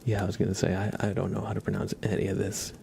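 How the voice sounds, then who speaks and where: A man whispering softly and breathily close to the mic as he hosts an ASMR livestream, chatting casually and gently repeating words. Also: sits low, little pitch movement, vocal fry, slight background noise. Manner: soft, repeats words and names slowly.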